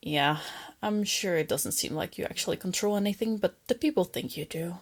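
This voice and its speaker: A woman voicing a female lamia captor in an ASMR roleplay, soft and breathy, teasing her captive with smug, possessive tenderness. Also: fairly low pitch, brisk, lightly acted. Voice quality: breathy, often dropping to a whisper. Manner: soft, taunting, condescending, intimate.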